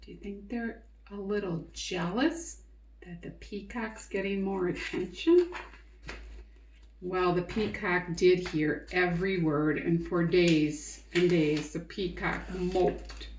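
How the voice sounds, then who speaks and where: A woman reading a picture book aloud to children in an expressive, coaxing way, in an echoey room. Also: fairly low pitch, slow, a little hiss or hum. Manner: expressive, coaxing, asks the listener questions. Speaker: read-aloud teacher and storyteller. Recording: roomy echo.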